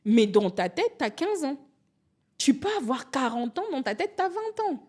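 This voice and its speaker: A woman in her forties, from Angola, talking as a podcast guest. Her voice sits a little on the higher side, and she tells stories animatedly, slipping into quoted voices. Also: moderate pace. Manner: animated, storytelling, restarts and acts out quotes. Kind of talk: candid conversational interview.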